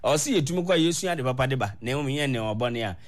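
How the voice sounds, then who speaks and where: A man with a Ghanaian accent talks on a call-in radio discussion at a middle pitch. He speaks in a measured way and often repeats his phrases. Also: lively intonation.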